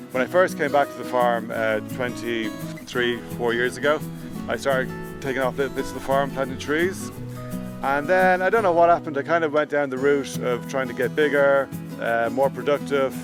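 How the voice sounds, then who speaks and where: An Irish man in his forties talks outdoors about his land in a relaxed, unhurried way, often pausing on "uh". His voice sits at an ordinary middle pitch. A clear guitar plays underneath. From Ireland. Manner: relaxed, plain-spoken, with frequent "uh" pauses. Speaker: farmer. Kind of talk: documentary talk to camera. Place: outdoors on a farm.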